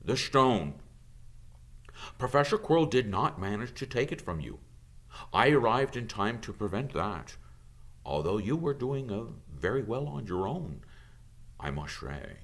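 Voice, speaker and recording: A man voicing a kindly, calm old wizard headmaster in an audiobook, musing and hesitating as he gently reassures. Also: on the low side, measured pace, long pauses, heavily acted. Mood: gentle, grave.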